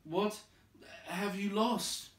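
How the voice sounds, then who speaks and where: Plucky little storybook adventurer, voiced by a male reader: exasperated, insistent, higher-pitched calls in an echoey room. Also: fairly even intonation, drawn-out words, fairly bright tone, full character voice. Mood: persistent. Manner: insistent, calling out. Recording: echoey room, distant from mic.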